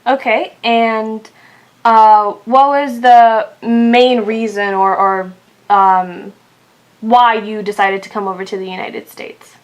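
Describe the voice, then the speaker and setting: A woman's voice: a little high, steady tone, slow pace. A young adult woman hosting an informal interview for a channel, reading out prepared questions.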